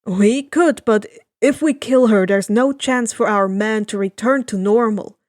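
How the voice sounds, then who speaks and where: Lady doing a sea captain guy, firm, slow, tactful, storybook reading. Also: a little high, a little mellow, no echo, studio quality, lightly acted. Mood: decisive. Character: decisive, tactful male Greek sea captain. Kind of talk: fan fiction character reading.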